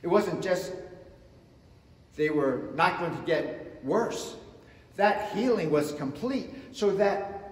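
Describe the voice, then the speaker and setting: A man in his fifties preaching a sermon from the front of a church sanctuary, slow and emphatic, swinging between loud and soft. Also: fairly high pitch, lively intonation. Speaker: pastor. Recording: echoey church with long reverb.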